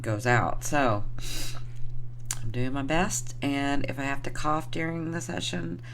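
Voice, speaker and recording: A hoarse woman who is getting over the flu, giving an affectionate, rambling tarot reading to her viewers with lots of fillers. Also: extremely low pitch, unhurried, noisy background. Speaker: tarot reader.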